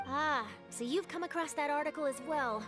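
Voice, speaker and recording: Woman doing a keen young reporter girl for a game, high voice, polite, music behind. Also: normal speed, lightly acted. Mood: curious. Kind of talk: video game voice acting.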